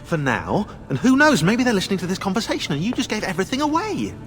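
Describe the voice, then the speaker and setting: A sardonic team technician in a video game, high-pitched and very animated. He sounds anxious and clipped, in a reverberant space. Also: fast talker, exaggerated voice. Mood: worried, needling. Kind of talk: video game cutscene acting. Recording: long reverb, faint music.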